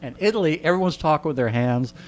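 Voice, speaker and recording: A man's voice: on the high side, animated, quick pace. Rambling, joking man telling anecdotes. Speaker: interviewee, radio personality. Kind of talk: casual anecdotal storytelling. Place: radio studio. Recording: faint mains hum.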